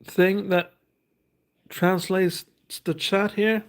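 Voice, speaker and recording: A man's voice: high voice, level tone, extremely slow. A man, hosting a casual unboxing and reading card text aloud in a hesitant, thinking-aloud way. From Norway. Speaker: host.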